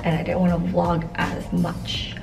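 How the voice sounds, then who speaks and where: A young woman talking casually to her camera in a vlog, a little hoarse, with lots of fillers like "like" and "y'alls". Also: fairly low pitch, completely flat delivery, measured pace, recorded from a distance, over music. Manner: chatty, says "like" and "y'alls" often. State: slightly hoarse, losing her voice. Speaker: vlogger. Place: apartment living room, indoors.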